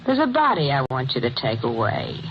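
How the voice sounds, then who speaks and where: Radio noir temptress: murderous wife, cool persuader, lively inflection, dull noisy vintage recording. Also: female voice, rumbling low, brisk, exaggerated voice. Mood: sultry, coaxing. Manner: cool, matter-of-fact, persuasive. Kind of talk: old-time radio crime drama acting.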